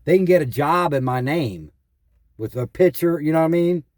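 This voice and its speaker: A man's voice: fairly high pitch, animated, normal speed, a little mellow, no echo, studio quality. A man talking casually as a caller, telling anecdotes in an exasperated, repetitive way and quoting past exchanges. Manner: repeats himself, quotes past exchanges, exasperated complaining. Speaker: caller reporting identity theft and scam calls.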